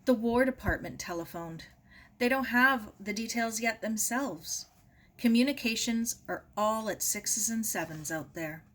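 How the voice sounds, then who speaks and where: A woman voicing a big, grieving older father in a storybook, halting and apologetic, his words pained. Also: on the high side, voice pushed up. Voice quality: rough with pain. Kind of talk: storybook read-aloud.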